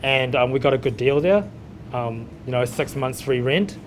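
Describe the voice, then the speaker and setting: A man's voice: mid-range voice, animated, normal speed, a little hiss or hum. A man in his thirties with a New Zealand accent, telling his story informally in an interview as a chef, often saying "you know". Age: 30s. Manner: conversational, frequent "you know". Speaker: chef and restaurant owner being interviewed.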